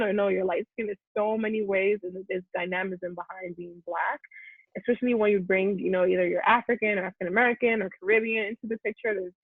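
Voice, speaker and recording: A Nigerian woman, a Yoruba native speaker, talking as an interview guest in an animated way, often restarting her sentences and laughing. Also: on the low side, breathy edge, no echo. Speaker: guest content creator. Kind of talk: reflective interview talk. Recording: tinny phone-like line, dry.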